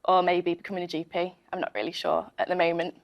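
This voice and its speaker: A woman's voice: lowish voice, little pitch movement, fast talker, vocal fry, dull audio. A woman of twenty, a medical student competing on a quiz show, giggling and thinking aloud as she hesitates. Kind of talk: game show chat.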